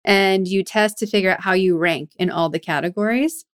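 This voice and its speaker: A woman's voice: mid-range voice, moderate pace, clean tone. A woman hosting a podcast, talking in a curious, chatty way and asking follow-up questions. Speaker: podcast host. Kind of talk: casual interview chat.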